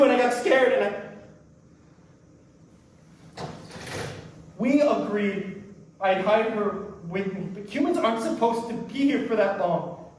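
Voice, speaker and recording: A man performs an anguished keeper of souls in limbo with a fairly high voice and earnest, halting phrasing. It is a staged drama reading, captured at a distance in a strongly reverberant space with faint noise. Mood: anguished, confessing. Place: indoor stage before an audience.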